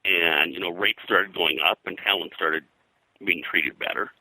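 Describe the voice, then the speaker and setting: An older American man reminiscing as an interview guest, in a halting, conversational way full of verbal filler. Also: sits low, steady tone, sharp tone, no room sound, poor audio. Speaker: interview guest, veteran comics colorist.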